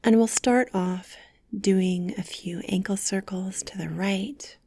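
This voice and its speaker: A woman leading a guided meditation with soft, gentle, soothing instructions, breathy and often close to a whisper. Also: mid-range voice, slow pace.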